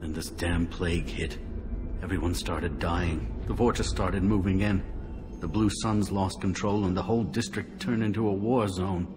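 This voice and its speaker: An actor playing a wary, embittered alien refugee in a video game, gruff and cynical. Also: male voice, deep voice, steady tone, moderate pace, in a large hall, background noise, natural voice. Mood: grim. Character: wary, embittered male non-human plague-district refugee.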